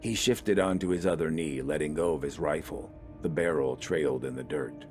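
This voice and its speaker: A man narrates a piece of fiction in a deep, low register. The delivery is scripted storytelling for an audio story. Faint music runs underneath the voice. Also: moderate pace, darkish tone.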